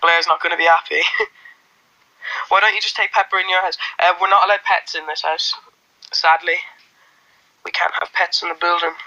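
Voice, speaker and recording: A man's voice: sits high, steady tone, moderate pace, pauses often, pushed and bright, lo-fi sound. A young man from Ireland whose Irish accent has mostly faded, chatting casually on a phone livestream in a small room, reading out viewer comments and rambling with lots of fillers and restarts. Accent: faint Irish, mostly worn off. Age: young adult. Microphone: phone held close.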